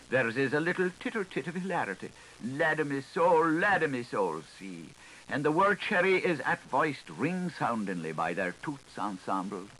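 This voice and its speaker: A man's voice: medium pitch, sharp tone, rough recording. A man narrating a literary audiobook in a theatrical, lilting, singsong manner. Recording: hissy 1980s audiobook recording.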